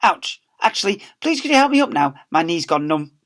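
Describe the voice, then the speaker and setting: A man's voice: sits high, sing-song, fairly quick, fairly bright tone, pitched above the performer's own voice. A man voicing a lovestruck, soppy male English teacher in a melodramatic, gushing storybook manner. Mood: pained. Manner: melodramatic, overwrought declarations.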